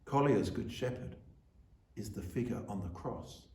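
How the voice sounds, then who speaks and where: A man delivers a reflective sermon in a slow, solemn and deliberate way. His voice sits at an ordinary male pitch. It is heard in an echoey room, some distance from the microphone. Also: a little mellow, a little hiss or hum. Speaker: church officiant giving a reflection.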